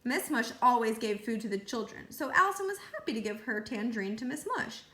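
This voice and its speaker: A woman reading a children's book aloud as a storyteller, with animated delivery. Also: a little high, brisk. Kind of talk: read-aloud storytelling narration. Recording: roomy echo.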